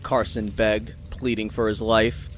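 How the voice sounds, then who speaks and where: A man's voice: lowish voice, little pitch movement, unhurried. A man giving a theatrical, intense scripted narration as a storyteller. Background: music.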